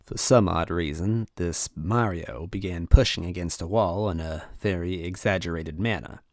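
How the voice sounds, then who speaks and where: A man reading a first-person story aloud in an expressive narrating style. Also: deep voice, normal speed, dry recording, clean studio sound. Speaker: story reader.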